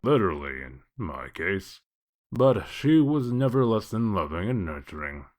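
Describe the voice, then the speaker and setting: A gruff comic-book detective acted by a man with a low voice. He talks in a dry, wry, evasive way, sardonic and unhurried to explain himself. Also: big pitch swings. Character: gruff, sardonic male detective of exiled fables. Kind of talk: comic dub character acting.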